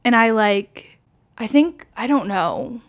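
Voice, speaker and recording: Tipsy woman with a gravelly edge. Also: fairly high pitch, animated, slow pace. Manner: rambling, candid, frequent "like" fillers, swearing. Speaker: podcast host. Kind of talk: casual solo podcast chat.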